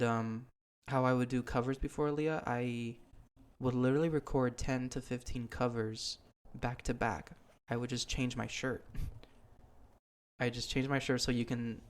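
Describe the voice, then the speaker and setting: A young man hosting a podcast, talking in a casual, earnest way with plenty of ums and restarts. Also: mid-range voice. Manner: earnest, rambling with many ums. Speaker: podcast host. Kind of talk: casual chat.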